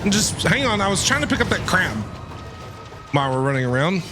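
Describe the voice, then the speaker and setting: A man's voice: high voice, big pitch swings, a little fast, over music. A man giving casual, slangy live gameplay commentary and reacting as he plays. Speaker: game streamer.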